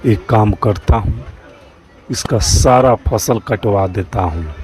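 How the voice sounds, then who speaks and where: A man playing a greedy, threatening old village moneylender in a cartoon story, in a stern, deliberate manner. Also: fairly low pitch, darkish tone. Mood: envious, scheming. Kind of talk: cartoon moral-story character acting.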